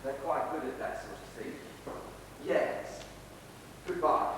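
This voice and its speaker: Man playing sardonic husband, slow, high-pitched, echoey hall. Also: fairly even intonation. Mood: mock polite. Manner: sardonic, deliberate, theatrical delivery. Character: embittered middle-aged theatrical husband, mocking and menacing with a gun. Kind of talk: theatrical stage drama acting. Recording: echoey hall, distant mic, faint rumbling noise.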